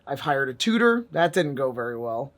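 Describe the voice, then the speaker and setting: A man's voice: medium pitch, big pitch swings, normal speed. A man vlogging a casual app review in a wry, joking way, with sudden emphatic bursts. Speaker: VR fitness and language vlogger.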